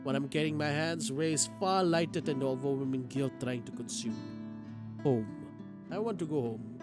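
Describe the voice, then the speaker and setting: A man's voice: medium pitch, moderate pace. A man streaming a game, reading its text aloud dramatically and reacting as he goes. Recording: guitar game music behind the voice.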